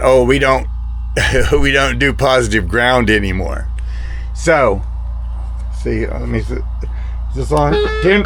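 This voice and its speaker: An older man talking casually and unscripted as a hobbyist showing his gear, rambling and halting, often trailing off mid-thought. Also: mid-range voice, fairly bright tone, slight background noise. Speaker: CB radio hobbyist. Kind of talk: casual unscripted product talk.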